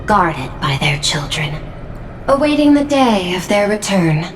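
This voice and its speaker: A woman's voice: medium pitch, big pitch swings, a little slow, faint music behind. A woman playing an aloof, analytical adviser in a video game story, formal and matter-of-fact in her delivery. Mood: detached, knowing.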